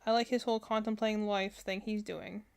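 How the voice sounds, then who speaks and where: A woman's voice: medium pitch, steady tone, brisk, vocal fry, clean tone. A woman streamer chatting casually and thinking aloud as she gives rambling, opinionated reactions. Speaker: streamer reacting to game character trailers.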